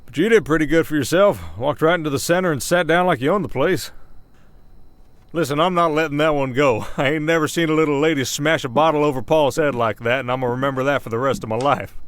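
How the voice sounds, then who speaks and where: A man's voice: on the high side, no echo, lightly acted. A man playing a folksy, hesitant werewolf sheriff with a Southern Old West drawl in an ASMR roleplay. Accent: American Southern, Old West drawl. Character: folksy werewolf sheriff of an Old West town, long-lived but young-faced. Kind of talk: roleplay audio acting, ASMR. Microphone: close studio mic, dry.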